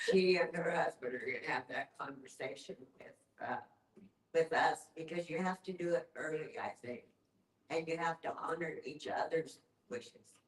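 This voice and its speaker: A mature woman with a distinctly low voice speaks in an echoey room, a little away from the mic. She talks casually and bluntly, stating her views outright. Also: recorded from a distance. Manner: blunt, opinionated. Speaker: discussion participant. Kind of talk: casual group discussion.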